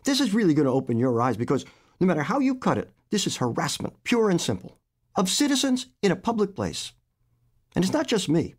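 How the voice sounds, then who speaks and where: A man in his seventies, an author reading his own short story aloud in a measured, expressive way. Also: high-pitched. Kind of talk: literary fiction reading.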